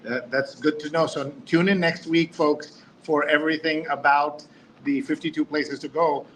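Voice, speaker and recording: Higher-voiced man hosting a live show. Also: fairly even intonation, normal speed, fairly bright tone, a little hiss or hum, poor audio. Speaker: host of a live talk show. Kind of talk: casual live show hosting, reading viewer comments.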